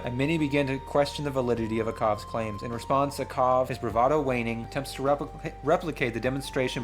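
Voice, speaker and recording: A man playing a tabletop game, reading narration aloud and thinking out loud in excitable, slangy asides. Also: medium pitch. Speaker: player and host of a gaming channel. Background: music.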